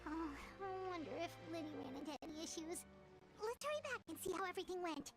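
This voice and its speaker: A woman voicing a small childlike fairy companion in a video game, earnest, excitable and expressive, with a squeaky voice. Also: fast. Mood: anxious, conspiratorial. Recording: game audio with music behind.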